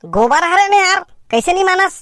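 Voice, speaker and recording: High-voiced, stubborn cartoon village man, acted by a man. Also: big pitch swings, normal speed, background noise. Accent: Chhattisgarh. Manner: insistent, repeats words emphatically. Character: stubborn, fussy, argumentative male villager on a picnic. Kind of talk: cartoon comedy dialogue.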